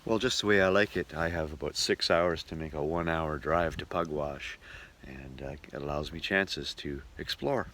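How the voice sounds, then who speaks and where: A man's voice: a bass voice, moderate pace, fairly bright tone, slight background noise. A man hosting a casual travel vlog, narrating with wry, joking asides. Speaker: vlog host and travelling musician.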